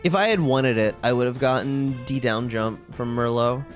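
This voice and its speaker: A video game streamer chatting casually with viewers on a live stream, rambling and thinking out loud. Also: medium pitch, normal speed, faint music behind.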